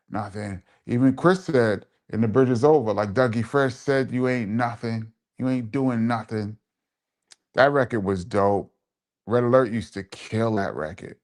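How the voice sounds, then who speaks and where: A man hosting a live stream as a DJ, chatting casually as he reads out viewer comments and reminisces, giving shout-outs and repeating phrases for emphasis. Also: mid-range voice.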